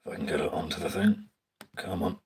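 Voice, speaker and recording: A young man with a very deep voice, casually walking through a stage plot on screen, rambling with fillers and casual swearing. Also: brisk, background noise. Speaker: stage tech student. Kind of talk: casual live screen walkthrough. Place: indoors at a screen. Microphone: phone filming a screen.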